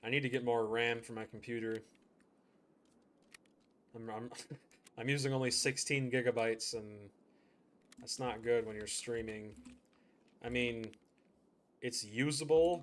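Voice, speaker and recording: A man's voice: mid-range voice, flat delivery, unhurried, pauses often. A man streaming a game, chatting casually and thinking aloud as he plays. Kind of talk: live gameplay commentary.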